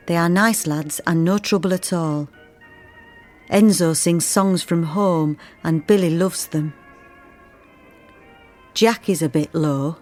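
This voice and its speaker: A woman's voice: on the low side, a little mellow, close to an everyday voice. An actress playing a plain-spoken, homesick young emigrant mother, reading her letters as radio drama narration.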